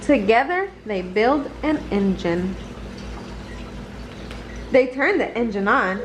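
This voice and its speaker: A woman reading a children's picture book aloud in a deliberate, expressive storytelling manner. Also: fairly high pitch, a little hiss or hum. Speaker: storybook reader.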